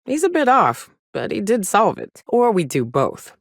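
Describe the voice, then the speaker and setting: A woman's voice: mid-range voice, wildly swooping pitch, measured pace, vocal fry, no room sound, studio quality, full character voice. An actress playing a jaded, hard-drinking frontier mercenary woman in a video game, blunt, sardonic and defiant, with salty phrasing. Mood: weary, wry. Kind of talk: video game character dialogue.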